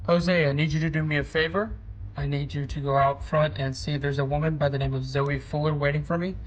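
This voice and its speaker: A man's voice: on the high side, little pitch movement, brisk, muffled recording, lightly acted. A man playing a kind, busy surgeon in an audio drama. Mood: brisk, businesslike. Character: kind, busy male surgeon, friendly with kids.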